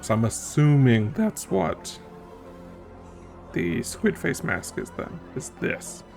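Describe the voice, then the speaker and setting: A man's voice: mid-range voice, sing-song, slow pace, vocal fry, darkish tone, soft background music. A man giving casual commentary while streaming a game, musing and thinking aloud.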